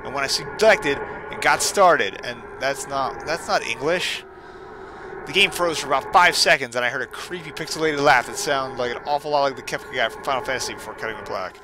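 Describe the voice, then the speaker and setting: Male gaming host, mid-pitched, wry and self-mocking, casual play-by-play with theatrical reading; noticeable background noise. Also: fairly quick. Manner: sarcastic, self-deprecating asides. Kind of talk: casual gameplay commentary mixed with mock dramatic story reading.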